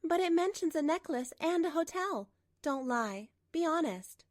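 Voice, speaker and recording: A woman's voice: extremely high pitch, expressive, measured pace, no room sound, clean studio sound, voice pushed up. A woman voicing a wronged young wife in a manga drama, firm and insistent as she speaks. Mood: stern.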